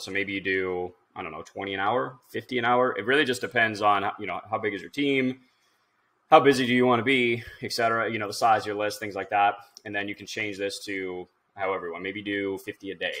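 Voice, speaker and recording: Bright, low-voiced man giving a casual tutorial. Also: fairly even intonation, fairly quick. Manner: informal, instructional, thinking aloud as he goes. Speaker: tutorial presenter. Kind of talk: casual screen-share software tutorial.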